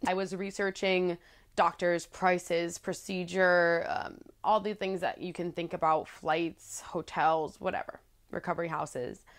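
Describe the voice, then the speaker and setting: A young woman in her twenties with a low voice, telling her story candidly to the camera in a casual vlog, chatty and playful with frequent laughs. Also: fairly even intonation, measured pace. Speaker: vlogger. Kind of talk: casual talking-head storytelling. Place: small living room with plants, indoors.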